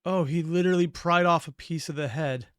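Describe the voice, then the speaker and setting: A man's voice: on the high side, a little fast, fairly bright tone, smooth, no echo, clean studio sound. A man hosting a reaction video, giving casual running commentary in a musing, questioning, wry way.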